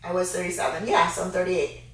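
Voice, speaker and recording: A woman in her thirties co-hosting a casual Q&A, chatty and easygoing, quick to laugh. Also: lowish voice, little pitch movement. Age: 30s. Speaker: fitness co-host. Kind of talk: casual Q&A chat. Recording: echoey room, mic far off.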